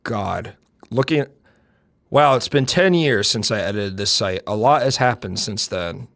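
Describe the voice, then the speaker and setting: A loud, brash young man with a deep voice, chatting on a live stream. His pitch leaps and drops all the time, from near-shouts down to low mutters. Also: animated, a little slow, gravelly. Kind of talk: casual live-stream chat.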